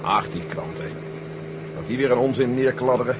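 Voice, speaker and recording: Loud motor noise, man playing bossy gruff general in old sci-fi radio play, clipped, medium pitch. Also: moderate pace, lo-fi sound, natural voice. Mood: dry. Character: brisk, gruff, practical male army general in command. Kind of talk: 1970 Dutch science-fiction radio drama acting. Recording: loud engine noise behind the voice. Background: helicopter engine drone.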